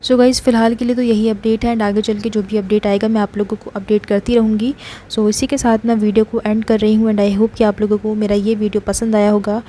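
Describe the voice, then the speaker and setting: A woman's voice: mid-range voice, little pitch movement, brisk, mellow. An Indian woman giving informal vlog commentary, repeating and rephrasing her points for emphasis. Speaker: gossip vlogger. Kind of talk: informal commentary narration in Hindi mixed with English words. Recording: faint background noise.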